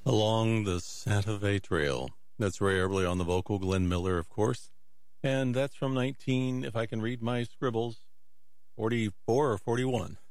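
A man's voice: fairly low pitch, normal speed, a little mellow, no echo, studio quality. A man hosting a music radio show, chatting casually in a folksy, rambling way with self-deprecating asides.

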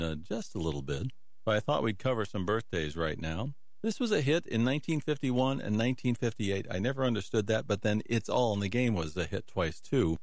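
A man's voice: on the low side, darkish tone, no room sound, studio quality. A man hosting a music radio show, talking casually with chatty, musing asides.

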